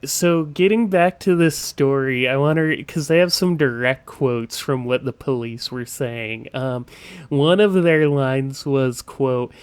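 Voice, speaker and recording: A man hosting a podcast in casual conversation, with a smooth voice and a halting way of talking full of asides. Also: medium pitch, no room sound. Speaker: podcast host.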